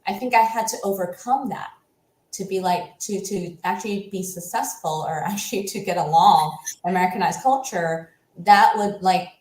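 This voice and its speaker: A woman whose first language is Cantonese, a coach talking as an interview guest in an animated, casual way, often ending with "right?". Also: medium pitch, normal speed. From United States. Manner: animated, ends points with "right?". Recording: echoey room.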